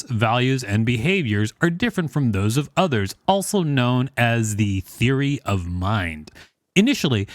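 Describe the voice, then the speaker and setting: A man's voice: medium pitch, dry recording, clean studio sound. A man hosting a podcast, reading an encyclopedia article aloud with the occasional brief aside.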